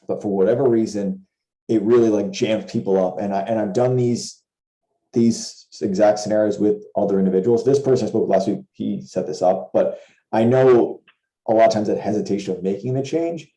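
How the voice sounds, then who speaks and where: A man with a low, very dark and relaxed voice explains things informally on a video call. His delivery has a gravelly fry to it, and he rephrases his points while walking through examples. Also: fairly quick, echoey room, poor audio. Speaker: trading educator and co-host of a Q&A. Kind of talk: informal explainer talk over a shared screen. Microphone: video call with screen share.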